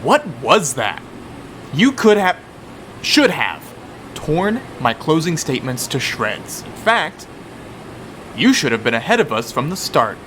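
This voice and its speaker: A man's voice: on the high side, very animated, unhurried, long pauses, fairly bright tone, reverberant, big-room sound, slight background noise. A man playing a combative young debater who is smitten with his rival in a radio drama. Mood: teasing, exasperated.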